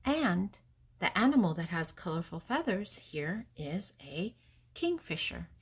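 An older woman with a lowish, husky voice reads to small children. Her delivery is deliberate and teacherly, swinging widely in pitch as she names things and poses simple questions. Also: sing-song. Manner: deliberate, teacherly, pausing between words. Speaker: host reading a children's picture book aloud. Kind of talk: read-aloud for young children, with questions and answers.

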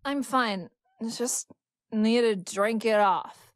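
Woman voicing a high-pitched brash drunken young woman. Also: slow pace, dry recording, studio quality. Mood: gruff, queasy. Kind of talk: dramatic visual novel read-aloud.

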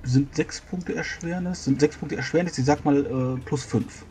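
A man's voice: on the high side, moderate pace, warm tone. A man playing a tabletop roleplaying game, thinking aloud hesitantly in casual talk as he works through his move. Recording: faintly noisy voice recording.

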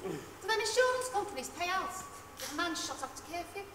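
Actress doing a fussy wife coaxing her drunk husband, bright voice, far-off hall sound. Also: very high voice, sing-song, a little fast, slight background noise, heavily acted. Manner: coaxing, wheedling. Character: fussing, worried wife of a drunkard. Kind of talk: stage play acting. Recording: echoey hall, far from mic, faint ambience.

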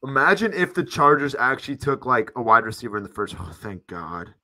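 A man's voice: medium pitch, big pitch swings, fairly quick, bright, forceful tone. A man in his twenties chatting informally to viewers as a sports livestream host, his voice wearing out. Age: 20s. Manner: informal, addresses viewers as guys. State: losing his voice. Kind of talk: casual fan livestream chat. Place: small home room, indoors. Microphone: close desk microphone.

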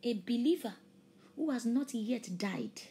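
A woman's voice: fairly high pitch, lively intonation, measured pace. Fervent woman preaching. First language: Yoruba. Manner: fervent, rising to loud emphatic peaks, then dropping to hushed near-whispers. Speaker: preacher and host. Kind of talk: preaching a sermon with an altar call.

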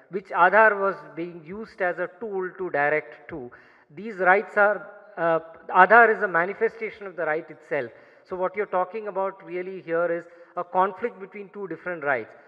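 A man in his thirties with a high voice and an Indian English accent presents at a podium in a large conference hall. He explains in a measured way, often pausing on fillers and restarting phrases. The sound is muffled and carries a long hall echo. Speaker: panel speaker. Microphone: podium gooseneck mic. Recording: muffled reverberant hall recording.

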